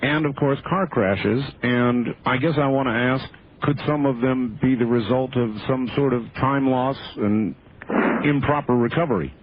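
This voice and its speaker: A man hosting a late-night radio call-in show, brisk with brief announcements. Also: medium pitch, slight background noise. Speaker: radio host. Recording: dull lo-fi radio broadcast.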